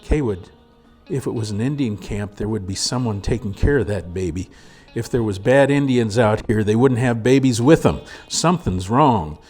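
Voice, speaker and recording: A man's voice: lowish voice, animated, normal speed, a little mellow, slightly rough, faint music behind. A man voicing a worried, insistent frontier wife and mother with urgent, folksy speech in a storybook reading.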